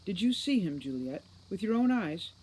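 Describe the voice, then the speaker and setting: A woman's voice: medium pitch, sing-song, normal speed, darkish tone, husky, pitched above the performer's own voice, low-quality recording. A woman voicing a serious young boy mastermind in a storybook, anxious beneath clipped, formal speech. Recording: faint background noise.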